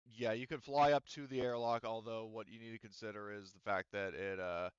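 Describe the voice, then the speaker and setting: Male GM, low-ish smooth voice, rambly and hesitant, dry muffled online audio. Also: steady tone, a little fast, no echo. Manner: rambling, thinking aloud with many "um" and "like". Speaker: game master. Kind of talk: explaining rules and setting in a tabletop game.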